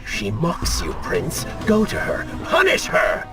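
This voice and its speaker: A man playing a prince's mocking, cynical dark alter ego in a video game, with sarcastic, goading delivery. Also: a little high, huge pitch swings, slow pace, exaggerated voice. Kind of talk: video game cutscene acting. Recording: loud music over the voice.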